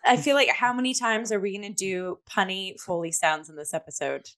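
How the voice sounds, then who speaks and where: A woman co-hosts a chatty arts review show. Her voice sits at an ordinary middle pitch, and she talks in a playful, gushing way, casual and conversational. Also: animated, brisk, fairly bright tone. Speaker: podcast co-host.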